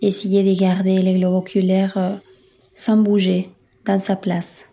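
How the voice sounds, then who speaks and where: A woman yoga instructor leading a guided relaxation in a calm, soothing, unhurried manner with long pauses. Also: medium pitch, highs cut off. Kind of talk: guided meditation, yoga nidra.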